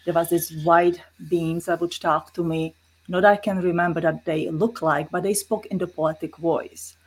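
Husky-voiced woman with a Czech accent. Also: lowish voice, fairly even intonation, normal speed, poor audio. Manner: earnest, explanatory, non-native phrasing. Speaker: guest. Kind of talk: spiritual interview conversation.

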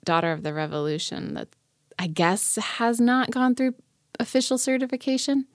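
A woman's voice: fairly high pitch, fairly even intonation, normal speed, no echo, clean studio sound. An American woman hosting a casual chat in a playful, frank way. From United States. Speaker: host, sexuality educator.

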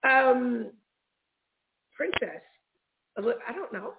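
A woman's voice: a little high, lively intonation, takes it slowly, gravelly, noisy background. A playful, exclamatory woman chatting casually as a guest in a word-association game. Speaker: guest being interviewed. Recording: narrow phone-like band.

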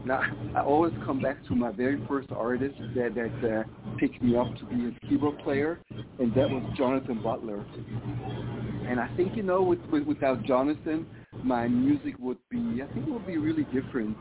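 A man talks over a tinny phone line, his voice pitched in the middle range for a man. He answers thoughtfully and haltingly, stumbling and repeating words as he searches for them. A steady hiss of noise sits under his voice. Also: gravelly. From Switzerland. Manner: reflective, hesitant, repeats words often. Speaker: musician guest. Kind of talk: casual interview answers.

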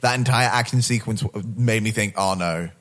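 A man's voice: lowish voice, moderate pace, a bit bright. A man in a casual film discussion, speaking bluntly and jokingly, with some swearing.

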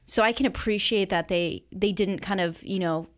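A woman's voice: medium pitch, moderate pace. Woman in casual, conversational guest chat. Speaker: guest co-host. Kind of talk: casual movie discussion.

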